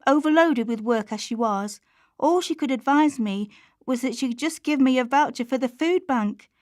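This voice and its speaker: A woman's voice: sits high, normal speed, darkish tone, no room sound, clean studio sound, natural voice. A woman playing Chantelle, an anxious struggling mother confiding in a radio play monologue. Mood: anxious, despairing. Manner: confiding, plain-spoken, colloquial.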